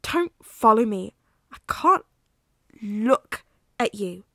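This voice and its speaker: A woman's voice: fairly high pitch, expressive, extremely slow, slightly rough, no room sound, clean studio sound, heavily acted. Woman voicing a furious betrayed werewolf boy. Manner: shouting, swearing, bitter.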